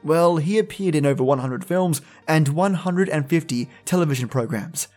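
Higher-voiced man hosting spooky stories over music. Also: moderate pace, a little mellow. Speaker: podcast host and storyteller. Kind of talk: scripted spooky storytelling narration.

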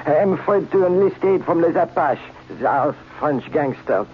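An actor playing a timid, phony count and inventor, talking in a halting, overly formal way in a radio drama. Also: male voice, fairly high pitch, animated, a little hiss or hum, natural voice. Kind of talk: 1940s radio acting. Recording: muffled old radio recording with music.

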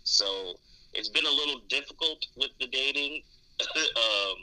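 A man's voice: mid-range voice, lively intonation, measured pace, bright, forceful tone, low-quality recording. A man in candid podcast conversation, speaking thoughtfully and hesitantly, often repeating words as he explains. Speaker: podcast co-host discussing dating.

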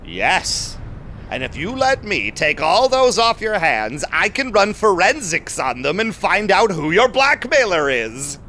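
A man's voice: high voice, sing-song, unhurried, sharp tone, slight background noise, exaggerated voice. An actor playing a sly, self-assured male vampire businessman who schemes and tells his own story in an audio drama. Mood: reassuring, businesslike. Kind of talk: audio drama acting and first-person narration.

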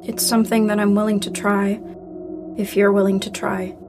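A woman's voice: medium pitch, level tone, normal speed, vocal fry, noisy background, close to an everyday voice. A woman playing a young, grieving daughter, wounded and earnest, in a naturalistic audio drama. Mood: weary, resolute. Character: grieving daughter, wounded, angry yet willing to reconcile. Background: music.

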